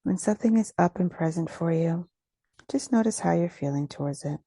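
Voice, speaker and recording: A woman leading a guided meditation, giving gentle, soothing instructions with unhurried phrasing and pauses. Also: lowish voice, no room sound.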